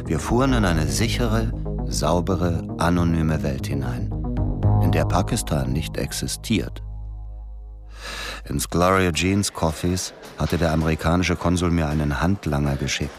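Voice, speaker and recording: A man's voice: very deep voice, a little slow. An actor playing a sceptical, world-wise man narrating his own story in a radio drama. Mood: sober, sceptical. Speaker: first-person narrator. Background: loud music.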